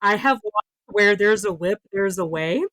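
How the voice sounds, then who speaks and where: A woman's voice: mid-range voice, moderate pace, fairly bright tone, no room sound. A woman co-hosting a podcast, speaking in a casual, conversational way with the panel. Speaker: podcast co-host.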